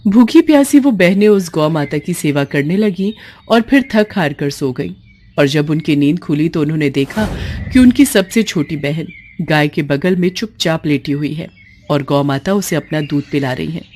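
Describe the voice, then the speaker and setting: A woman narrating a scripted moral story in an expressive, emotive, dramatic way. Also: low voice, normal speed.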